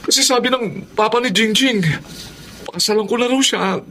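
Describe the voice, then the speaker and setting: Casting: sheepish teen Filipino brother, high strained-up pitch, radio drama, noisy recording. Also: male voice, moderate pace, sharp tone. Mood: panicked. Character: anxious, sheepish nineteen-year-old younger brother.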